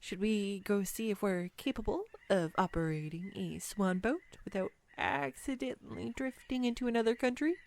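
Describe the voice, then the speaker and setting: A woman playing a clumsy, nervous, giddy young woman on a first date in a romantic audio roleplay, rambling awkwardly and self-deprecatingly with halting stumbles. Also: mid-range voice, creaky, a little mellow, close to an everyday voice. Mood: shy, hopeful. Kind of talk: scripted romantic audio roleplay addressed to the listener.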